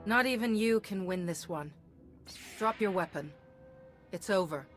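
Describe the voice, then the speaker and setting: An actress playing a resolute, earnest heroic commander in a video game, composed in delivery. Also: female voice, mid-range voice, expressive, unhurried, soft background music, close to an everyday voice. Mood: defiant. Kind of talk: video game hero acting.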